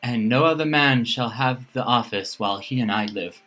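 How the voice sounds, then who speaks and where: A woman's voice: very deep voice, moderate pace, fairly bright tone, voice pushed down, poor audio. A woman voicing an earnest, noble teenage boy with formal storybook speech. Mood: solemn.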